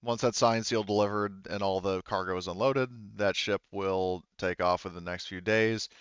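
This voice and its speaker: A man with a fairly low voice works through a tabletop game scene as its game master. He talks haltingly, composing as he goes. The recording is dry and slightly muffled. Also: fairly even intonation, clean tone, no echo. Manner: halting, thinking aloud. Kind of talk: narrating a tabletop roleplaying game.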